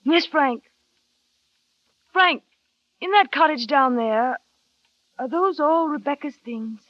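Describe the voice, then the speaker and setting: An actress playing a shy, insecure young wife who narrates wistfully and asks hesitant, earnest questions, on an old radio drama. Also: female voice, high voice, slow pace, no room sound. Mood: anxious. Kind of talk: 1940s radio acting. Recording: dry, dull vintage radio recording.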